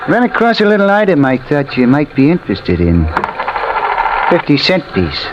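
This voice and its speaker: Sneaky little dark-suit man, 1950s radio thriller, clipped slangy talk, swingy pitch, hissy old recording. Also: wildly swooping pitch, normal speed. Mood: sly, guarded. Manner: terse, slangy, guarded. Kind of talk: 1950s radio drama acting. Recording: muffled old radio recording, clear noise.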